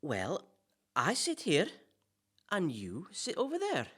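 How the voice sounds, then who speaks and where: Casting: male actor as nervous, deferential podcast presenter for comic audio drama, lively swooping delivery, eager to please. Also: high-pitched, takes it slowly, husky, heavily acted. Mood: nervously placating. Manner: hesitant, apologetic, nervously deferential. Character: flustered, polite, eager-to-please male celebrity podcast host. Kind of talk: comic audio play acting.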